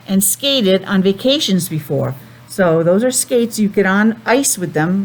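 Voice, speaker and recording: A woman's voice: a little high, a little mellow, rough recording. A woman teacher reading a picture book to young children, patiently asking questions and explaining things simply. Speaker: preschool teacher. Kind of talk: children's read-aloud with explanations.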